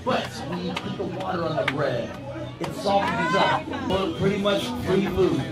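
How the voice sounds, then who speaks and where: A man's voice: high-pitched, normal speed, loud music behind the voice, a loud crowd. A man from Georgia in the United States, a cruise crew member joking around playfully in a casual chat on a beach. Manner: playful, rhyming, hype-man banter. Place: outdoors on a beach.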